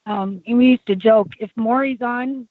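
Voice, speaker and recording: A woman guest telling her story in a casual interview, with a low voice left by a tracheotomy, talking in a chatty way full of fillers and restarts. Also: normal speed, no echo. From United States. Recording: tinny phone line, dry.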